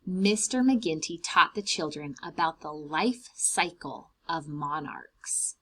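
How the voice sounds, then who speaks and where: A woman reading a children's storybook aloud in an expressive way, explaining things simply to young children. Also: deep voice, slow. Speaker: read-aloud host for preschoolers.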